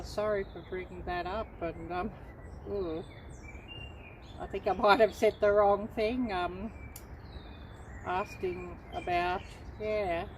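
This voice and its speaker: A middle-aged woman from Australia hosting a casual livestream, chatting unhurriedly to viewers with many pauses and ums. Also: mid-range voice, slight background noise. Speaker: livestream host.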